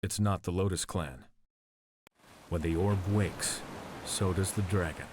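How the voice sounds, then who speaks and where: An actor playing a stern, brooding samurai prince with a husky voice and a curt, commanding manner in a video game cutscene. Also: male voice, sits low, measured pace, noisy background.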